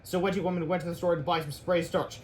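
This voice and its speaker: A man's voice: sits high, flat delivery, quick pace, darkish tone. A man narrating a children's book read-aloud, stumbling over words now and then.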